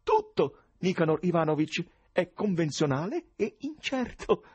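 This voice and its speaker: A man's voice: on the high side, very animated, a little slow, darkish tone, slightly rough, dry recording, pitched above the performer's own voice, exaggerated voice. A man voicing a skinny, fawning, unflappable stranger with a tremulous tenor and gushing chatter, in an audiobook. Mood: gleeful, effusive. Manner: gushing, wheedling, exclamatory.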